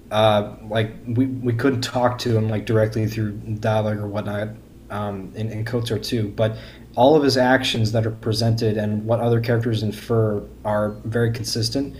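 A man in a casual fan discussion, speaking haltingly as a podcast panelist and often repeating words. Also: mid-range voice, a little mellow. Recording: faint background noise.

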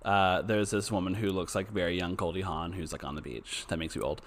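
A man co-hosting a podcast, chatting in a campy, enthusiastic way with quick asides. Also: deep voice, steady tone. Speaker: podcast co-host. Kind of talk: casual chat.

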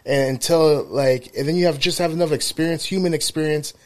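A man's voice: a little high, a little fast, a little mellow. A man in his thirties co-hosting a casual podcast, telling rambling, profane stories full of fillers. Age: 30s. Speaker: podcast co-host, barber.

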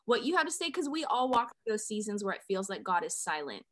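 Woman, podcast host, earnest, conversational, mid-pitched voice. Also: big pitch swings, fast talker, a bit bright. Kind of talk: faith podcast intro and interview.

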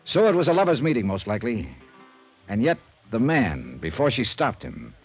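An actor playing a dry, wry, hardboiled insurance investigator, talking and narrating in a 1950s radio detective drama. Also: male voice, medium pitch, sing-song, normal speed, husky, soft background music. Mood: moody, tense. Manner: wry, clipped, moody storytelling.

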